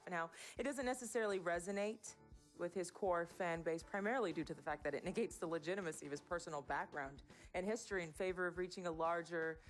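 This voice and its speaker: A woman's voice: on the low side, natural voice. An actress playing a proud, articulate American girlfriend in a video game drama, with a pointed, wordy, cutting manner. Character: articulate, proud girlfriend who will not back down.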